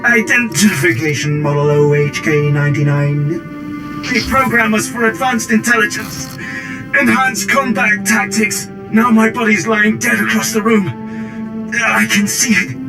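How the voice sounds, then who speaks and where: High creaky robot soldier, tormented and pleading, glitchy droid filter, game music loud behind. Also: male voice, a little slow, poor audio. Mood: mechanical, halting, then anguished. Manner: pleading and stammering, lapsing into flat system announcements. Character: anguished captive soldier trapped inside a droid body. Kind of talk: video game voice acting.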